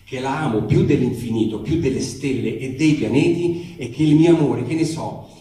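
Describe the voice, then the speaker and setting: A man's voice: mid-range voice, moderate pace, mellow, lightly acted. A man voicing a lovesick, earnest, dim young housemate who gropes for grand words in a comic story reading. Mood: lovestruck, frustrated. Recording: very echoey, distant mic, faint noise.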